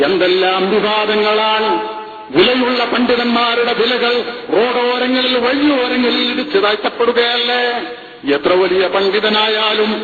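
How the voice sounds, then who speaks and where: A distant, reverberant recording with thin phone-like sound carries a male Islamic preacher with a Kerala Malayali accent. His delivery is slow and emphatic, moving between chanted verse and explanation in repeated rhetorical questions. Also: very high voice, background noise. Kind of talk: religious discourse, chanting Arabic verse then explaining it. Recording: echoey, phone-like, distant, with music behind.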